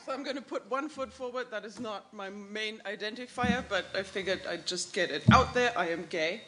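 A woman math professor speaking candidly about her life on a panel in a lecture room, open and animated. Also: mid-range voice, normal speed, slight background noise. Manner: open, animated, gestures while talking. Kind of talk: candid personal panel remarks.

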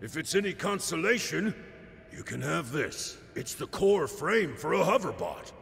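Gravelly, high-pitched blustering bandit boss. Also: male voice, very animated, measured pace, fairly bright tone, slight background noise, exaggerated voice. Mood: cocky. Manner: theatrical, blustering. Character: brawny boastful male bandit leader in swim gear, blustering. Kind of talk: animated video game cutscene acting. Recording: echoey hall reverb over music.

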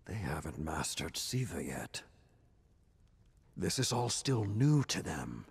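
Solemn game warrior lord, very raspy, gravel-throated, deliberate, battle-worn. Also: male voice, sing-song, full character voice. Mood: grave, resolute. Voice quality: very deep, gravelly, husky. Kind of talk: dramatic video game cutscene acting.

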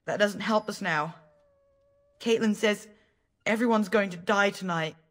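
Game role: woman as a small village boy, timid yet brave, polite, earnest, halting delivery. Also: medium pitch, fairly bright tone, close to an everyday voice. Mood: downcast. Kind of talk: video game character dialogue.

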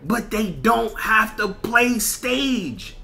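American dude ranting on a game stream, sharp bright voice, takes his time, repeats himself, lots of swearing. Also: high voice, animated, extremely slow, vocal fry, soft background music. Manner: slangy, heavy swearing, emphatic and repetitive. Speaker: streamer and content creator. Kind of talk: casual livestream rant over gameplay.

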